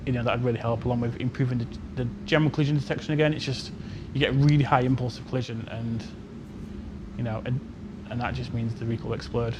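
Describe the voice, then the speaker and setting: A man with a middling pitch explains technical matters casually. He hedges often as he goes, over a clearly noisy stream recording. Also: level tone, fast. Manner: hedging, frequent "you know". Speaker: game developer on a panel.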